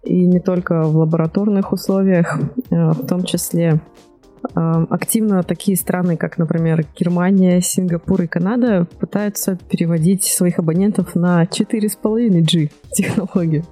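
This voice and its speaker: Low, mellow-voiced woman presenting tech news. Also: fairly even intonation, moderate pace, soft background music. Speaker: podcast host.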